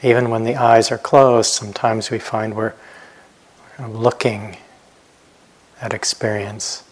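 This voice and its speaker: Male meditation guide, lowish voice, very flat intonation, gentle instructional delivery with spacious pauses. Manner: gentle, unhurried, with long pauses between short phrases. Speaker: meditation teacher.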